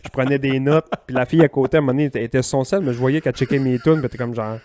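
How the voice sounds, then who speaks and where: Male comedy co-host, Quebec French accent, mid-pitched, slangy, sweary and clownish, studio podcast setting. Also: fairly even intonation, racing, darkish tone, no room sound. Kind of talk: casual comedic chat.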